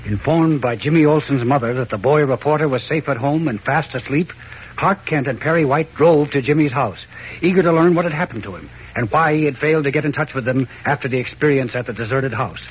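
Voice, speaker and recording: A man's voice: mid-range voice, a little fast. A man narrating a 1940s radio serial in a dramatic, suspenseful scripted style. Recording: dull old radio recording, faint noise.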